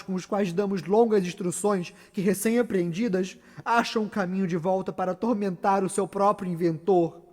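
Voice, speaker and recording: A brooding, conflicted nobleman of classical tragedy performed by a male actor. He declaims gravely and deliberately in a somewhat high male voice. The sound carries a long hall reverb. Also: darkish tone. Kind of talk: theatrical Shakespearean soliloquy.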